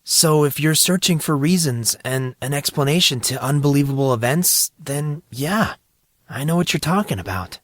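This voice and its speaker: A man's voice: fairly high pitch, expressive, moderate pace, a bit bright, dry recording, clean studio sound, voice pushed up. A man voicing an awed, uneasy teenage boy with a hesitant, questioning manner in an audiobook. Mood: earnest confession. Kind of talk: audiobook character dialogue.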